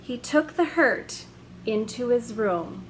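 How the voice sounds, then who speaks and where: A woman hosting a children's story hour, reading a picture book aloud in a gentle, expressive way with drawn-out emphasis. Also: a little high, a little hiss or hum, rough recording.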